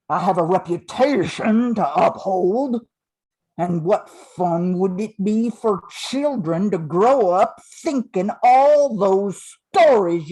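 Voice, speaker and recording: Swamp monster hero, played by older woman, gravelly and husky, drawling politely, amateur radio drama. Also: mid-range voice, dark tone, low-quality recording. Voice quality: husky, creaky. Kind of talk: amateur radio play acting.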